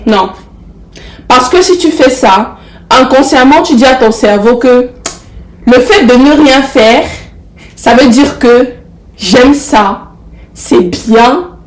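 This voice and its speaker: A young woman with the flu talks straight to her viewers in a fairly high, congested voice. She coaxes them warmly and drives points home by repeating herself. The room around her gives the sound a roomy echo. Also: takes it slowly, pauses often. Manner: affectionate, coaxing, repeats herself for emphasis. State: has the flu, stuffy nose. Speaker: motivational vlogger. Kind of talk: casual motivational talk to camera.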